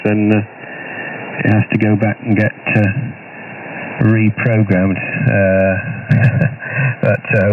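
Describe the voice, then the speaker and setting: Low-voiced man on muffled, distant ham radio. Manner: hesitant, rambling, many long pauses. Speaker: amateur radio operator. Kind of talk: casual amateur radio chat. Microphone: received over HF amateur radio. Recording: muffled, distant shortwave radio with faint noise.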